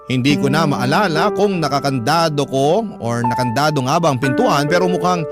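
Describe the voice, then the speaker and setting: A man's voice: on the high side, moderate pace, lightly acted. A man playing a young working student, earnestly confiding a troubled life story as scripted radio drama narration. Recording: prominent music bed behind voice.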